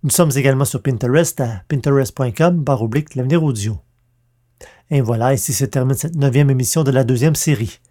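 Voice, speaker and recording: A man's voice: mid-range voice, fairly quick, warm tone. A man reading scripted podcast announcements as host.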